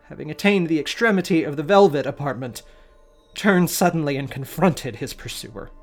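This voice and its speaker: A man hosting a podcast, giving a dramatic, expressive reading of a story. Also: a little high. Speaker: podcast host.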